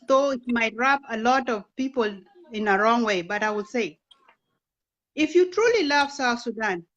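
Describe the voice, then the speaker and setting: Woman, 30s, raised in Kenya; earnest, persuasive interview guest, slightly higher voice, dull lo-fi sound. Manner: earnest, persuasive, asks rhetorical questions. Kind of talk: impassioned political talk.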